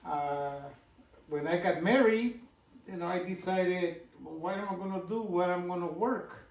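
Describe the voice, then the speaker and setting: A man in his sixties from Guatemala with a Spanish accent, telling his story haltingly in a casual interview. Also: high voice, darkish tone, echoey room, far from the mic, poor audio. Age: 60s. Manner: halting, personal storytelling with pauses and restarts. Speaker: business owner guest.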